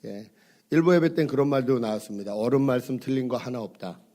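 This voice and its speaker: A middle-aged male pastor gives a Sunday sermon in a church, his voice hoarse from a cold. He talks to the congregation conversationally, with small fillers, and often turns to ask them questions. His voice sits at a middling pitch. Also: expressive, fast talker, mellow. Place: church sanctuary.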